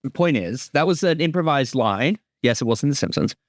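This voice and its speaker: A man's voice: medium pitch, animated, brisk, no echo, studio quality. A man chatting casually as a creator commenting on his show, often doubling back on his opening words. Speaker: creator giving episode commentary.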